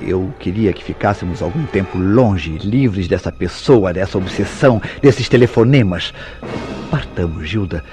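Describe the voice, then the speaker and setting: Jealous brazilian radionovela husband, lowish voice, intense n solemn, very formal diction. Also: male voice, expressive, a little slow, darkish tone, faint music behind. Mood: urgent, pleading. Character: jealous, brooding young husband, intense yet devoted. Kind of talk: old-fashioned Brazilian radio soap acting.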